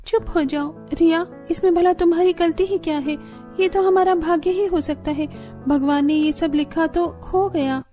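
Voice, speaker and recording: A dutiful young daughter-in-law, acted by a woman for a cartoon story, with a high, soft-toned voice. She pleads earnestly and firmly, and faint music runs underneath. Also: fairly even intonation, normal speed. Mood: consoling. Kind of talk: Hindi cartoon story voice acting.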